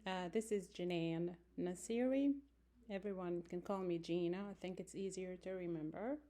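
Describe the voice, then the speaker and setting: A woman in her forties, from Morocco and raised in France, introducing herself to a class in an unhurried way with many fillers and self-corrections, recorded on a close-held phone in a small room. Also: on the low side, mellow.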